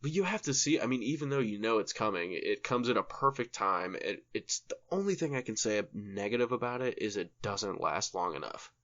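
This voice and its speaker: A man's voice: lowish voice, animated, brisk, hardly any pauses, fairly bright tone. A man co-hosting a casual podcast, talking in slangy, sweary bro-speak on a slightly muffled recording. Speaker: podcast co-host. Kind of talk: casual chat about video game movies.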